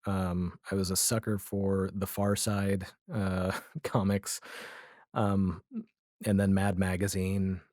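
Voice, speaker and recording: A low, mellow male voice in his forties, recorded very dry. He chats as an interview guest, reflective and wry, in a casual conversational style. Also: little pitch movement, takes it slowly, no room sound. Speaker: interview guest, comedy and audio drama writer.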